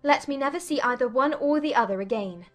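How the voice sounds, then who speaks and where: A woman's voice: high-pitched, expressive, fast, soft background music, voice pushed up. A woman voicing a proud, vexed and lovelorn young lady, speaking her thoughts dramatically in a period novel audiobook. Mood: wretched, ashamed. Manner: dramatic, exclaiming inner monologue.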